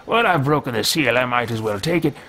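A man's voice: mid-range voice, expressive, a little fast, bright, forceful tone, pitched below the performer's own voice. A man voicing a formal male mage adventurer who mutters to himself in stately phrases while roleplaying a game. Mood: curious.

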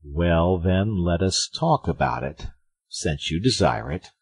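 A man voicing a mild, patient, quietly proud musketeer in a storybook reading, speaking in a measured, understated way. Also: sits low, very animated, breathy edge, dry recording, clean studio sound, full character voice. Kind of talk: audiobook character acting.

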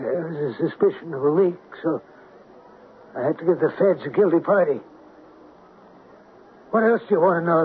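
High-pitched male executive with a guilty secret, flustered and defensive man acting, 1970s radio drama, muffled hissy recording. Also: close to an everyday voice. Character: male boss of weapons contractor, nervous, evasive traitor.

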